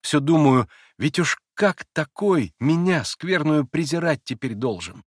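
A man reading an audiobook and voicing a passionate young woman who is proud, emotional and quick to flare. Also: on the high side, animated, no echo, clean studio sound, pitched above the performer's own voice. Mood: confessional.

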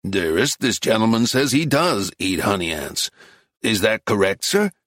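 A man's voice: on the low side, big pitch swings, a little slow, fairly bright tone, no room sound, clean studio sound, exaggerated voice. A man voicing an amiable, literal-minded American male tourist in an audiobook, questioning and puzzled. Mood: polite, probing. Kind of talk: acted audiobook dialogue.

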